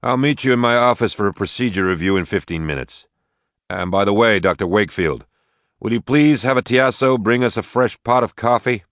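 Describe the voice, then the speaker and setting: A man's voice: on the low side, fairly quick, fairly bright tone, no echo, clean studio sound, pitched above the performer's own voice. A man voicing a weary, exasperated surgeon, reluctant yet commanding, with an emphatic, brusque manner. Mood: brisk.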